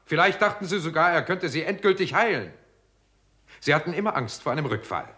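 A shrewd detective in a 1960s radio thriller. His mid-range voice is bright and expressive, composed and pointed. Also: a little high, a little fast. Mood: accusing, probing. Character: shrewd, composed investigator coolly laying out a case. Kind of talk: 1960s German radio drama acting.

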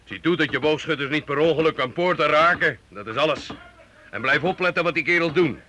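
An actor playing an arrogant, scornful medieval knight in a theatrical radio drama for youth, pompous, mocking and domineering. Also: male voice, on the high side, a little fast, pushed and bright. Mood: scornful, commanding. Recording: old radio recording with faint noise.

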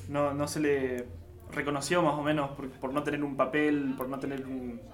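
A man's voice: mid-range voice, level tone, normal speed, far from the mic. A man chatting casually as a participant in a radio show. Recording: roomy, off-mic pickup.

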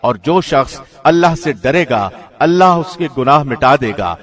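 A man reading a scripture translation from a script, with measured, formal diction like a radio presenter. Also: a little high, expressive. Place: studio.

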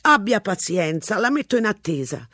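A reader voicing a brusque man answering a police line, cutting the caller short while chewing, husky. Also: medium pitch, sing-song, normal speed, sharp tone, faint music behind, pitched above the performer's own voice. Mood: curt. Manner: curt, chewing while talking.

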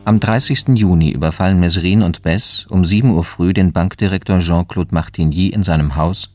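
Low-voiced male narrator over music. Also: a little mellow. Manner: factual, measured reporting. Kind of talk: documentary-style scripted narration in a radio drama.

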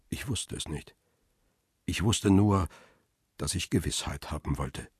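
A man's voice: low-pitched, a little mellow, slightly rough, dry recording, studio quality. A man playing a world-weary paparazzo who narrates his own story soberly in a radio crime drama. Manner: sober, reflective.

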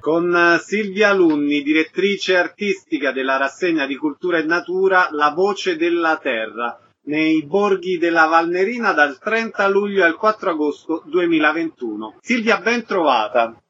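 A male radio interviewer with a fairly high voice delivers scripted links and brief questions. The recording is a little muffled and lo-fi. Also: lively intonation, unhurried, pushed and bright, soft background music. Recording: slightly dull, lo-fi sound.

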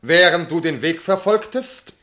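An actor playing an irascible, incredulous master who commands imperiously in a classical German radio play. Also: male voice, a little high, animated, a little slow, bright, forceful tone. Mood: impatient, commanding. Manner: imperious, theatrical declamation.

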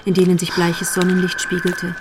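A woman's voice: on the low side, completely flat delivery, normal speed, background noise, close to an everyday voice. An actress playing the composed storyteller of a crime radio drama, a woman reading scripted literary narration.

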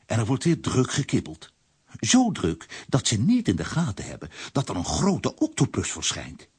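A man's voice: mid-range voice, huge pitch swings, normal speed, darkish tone, slightly rough, heavily acted. A man narrating a children's audio play as a storyteller, in a scripted style. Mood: storytelling.

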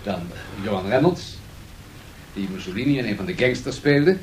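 A courteous studio host played by a man speaks in a fairly low voice. He makes polite, sociable introductions in natural radio dialogue, over slight tape noise. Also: animated, normal speed, a little mellow, natural voice. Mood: courteous, welcoming. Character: courteous male radio host introducing his cast to guest. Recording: faintly noisy 1970s radio studio tape.

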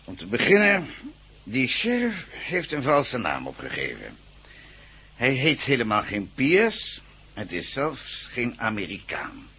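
An actor playing an authoritative police commissioner in a Dutch radio play, emphatic and deliberate as he gives orders. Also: mid-range voice, huge pitch swings, bright, forceful tone, slightly rough, exaggerated voice. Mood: grave briefing. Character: authoritative male police commissioner briefing his men.

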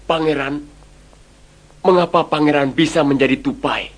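A woman voicing a hot-tempered male palace minister in a storybook tale, gruff and blustering. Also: low voice, moderate pace. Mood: eager. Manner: gruff, blustering, then humbled.

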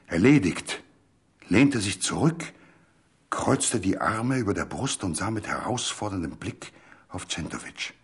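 A man reading a literary story as a scripted dramatic narration, measured and full of suspense. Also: low voice, slightly rough.

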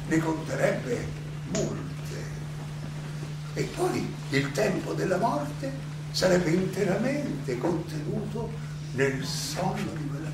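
A man playing an elderly condemned Greek philosopher, defiant yet serene, delivering a deliberate, halting theatrical monologue full of rhetorical questions in an echoey, noisy recording. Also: high voice, distant mic, heavily acted. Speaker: stage actor.

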